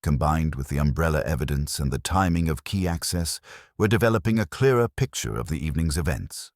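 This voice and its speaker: A man voicing a shrewd police inspector in a mystery story, formal, reflective and deliberate. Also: extremely low pitch, animated, dry recording, studio quality. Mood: reflective, probing.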